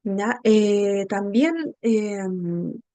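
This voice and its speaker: A woman with a Chilean Spanish accent giving an informal lecture as an instructor, halting as she searches for words and adding frequent tag questions. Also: medium pitch, lively intonation, mellow, no room sound. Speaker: instructor of an inclusive education class.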